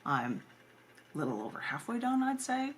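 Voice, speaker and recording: A woman's voice: a little high, big pitch swings, moderate pace. A woman poet and editor chatting informally as she introduces her own readings, rambling into playful asides. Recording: noisy amateur camera recording.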